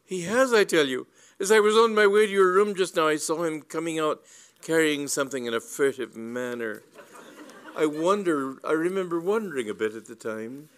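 A man voicing a nervous, craven young gentleman in a comic story, flustered, stammering and vehement as he bluffs. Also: medium pitch, pitched above the performer's own voice.

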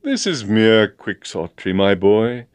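A man voicing a sentimental old army colonel in a storybook, with halting, courtly, blustering speech. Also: on the low side, big pitch swings, smooth, dry recording. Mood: sad, noble. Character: bluff, sentimental, absent-minded old army colonel, wistfully noble. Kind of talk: dramatised storybook reading.

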